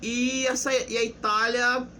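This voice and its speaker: A man's voice: very high voice, level tone, measured pace, pushed and bright, slight background noise. A young man giving a casual, unscripted history lesson, explaining loosely with restarts and rhetorical questions. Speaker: history presenter.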